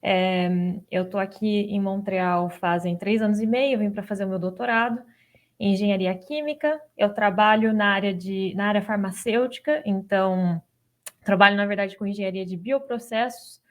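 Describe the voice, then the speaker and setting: A woman's voice: mid-range voice, moderate pace. A young adult woman with a Brazilian Portuguese accent giving an informal personal account as a guest, repeating words for emphasis. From São Paulo state, Brazil. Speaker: guest, doctoral student.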